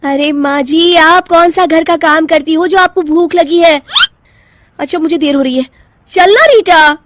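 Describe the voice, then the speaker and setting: A woman's voice: very high voice, unhurried, fairly bright tone. A woman voicing a selfish, insolent young daughter-in-law in a cartoon, pert and dismissive.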